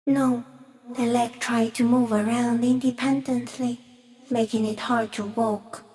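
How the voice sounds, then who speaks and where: A woman voicing an ancient, serene giant stick insect in a video game, musing softly in halting, deliberate fragments. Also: a little high, flat delivery, lightly acted. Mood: calm, grave. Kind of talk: video game character voice acting.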